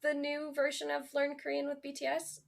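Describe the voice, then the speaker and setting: A woman's voice: high voice, monotone, normal speed, vocal fry, slight background noise. A woman chatting casually as a co-host on a podcast. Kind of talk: casual chat.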